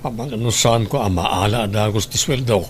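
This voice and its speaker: A man's voice: on the low side, moderate pace, a little hiss or hum, close to an everyday voice. Man playing an irritable Ilocano working husband. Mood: reassuring. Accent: Ilocano Filipino. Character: hard-working husband, irritable yet reassuring. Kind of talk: Ilocano audio drama acting.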